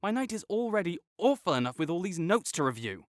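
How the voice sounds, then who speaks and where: A man playing a prickly, lively academic rival. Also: high voice, fairly quick, no room sound, clean studio sound. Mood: bickering. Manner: teasing, defensive, sarcastic. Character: prickly young male academic rival, grudgingly kind. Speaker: voice actor in audio roleplay. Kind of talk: audio roleplay acting to a silent listener.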